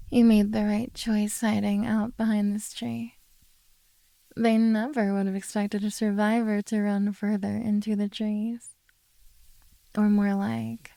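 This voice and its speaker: A woman playing a possessive, coaxing beastkin monster girl in a soft, teasing ASMR roleplay. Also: mid-range voice, gravelly, no room sound. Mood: soothing, sly.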